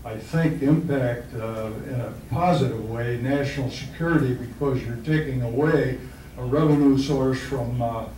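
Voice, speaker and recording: A man speaking at a public meeting, halting and hesitant, restarting his sentences. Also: medium pitch, mellow, slight background noise. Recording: echoey hall, far mic.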